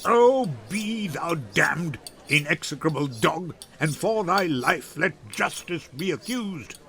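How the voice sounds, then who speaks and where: A man's voice: on the high side, animated, takes it slowly, vocal fry, fairly bright tone, full character voice. An older man voicing a hot-tempered young man, scornful and declamatory, in a dramatic Shakespeare reading. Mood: scornful outrage.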